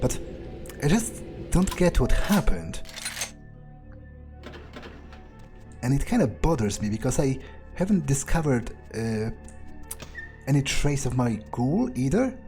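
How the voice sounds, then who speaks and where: A man voicing an arrogant, brooding vampire in a game playthrough, musing aloud in a halting, profane way. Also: medium pitch, very animated, warm tone, soft background music. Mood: baffled. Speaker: gaming YouTuber. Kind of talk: in-character game playthrough monologue, thinking aloud.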